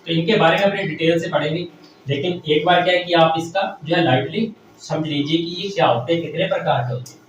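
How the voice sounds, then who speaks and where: A man with a fairly high voice lectures from across a very echoey room. He explains step by step as a technical teacher, often repeating himself and posing questions that he then answers. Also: brisk. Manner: repeats phrases, asks and answers own questions. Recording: very echoey room, distant mic, faint noise.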